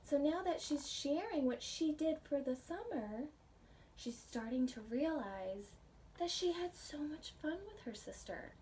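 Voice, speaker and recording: High-voiced woman reading slowly to children. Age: adult. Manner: gentle, expressive, asks the listeners questions. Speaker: children's storybook reader, teacher. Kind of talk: read-aloud of a picture book with simple commentary for children. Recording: distant mic with faint background noise.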